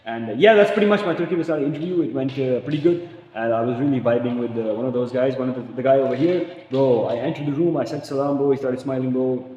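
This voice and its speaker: A man's voice: medium pitch, fast, mellow, muffled recording, a little hiss or hum. A young man from Pakistan in casual chat, rambling and slangy, often saying "bro" and laughing. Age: young adult. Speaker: vlogger. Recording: echoey room with long reverb.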